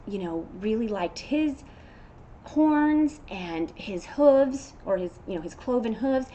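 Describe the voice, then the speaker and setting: American woman, middle-aged, teacher-like read-aloud host: conversational, encouraging, mid-pitched, noticeable background noise. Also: mellow, poor audio. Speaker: elementary teacher reading aloud. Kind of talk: casual read-aloud talk to children.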